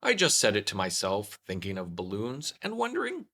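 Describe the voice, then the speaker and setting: A man's voice: fairly low pitch, wildly swooping pitch, moderate pace, bright, forceful tone, heavily acted. A man voicing a simple, ponderous, honey-loving storybook bear who muses earnestly and repeats himself. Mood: polite, hopeful.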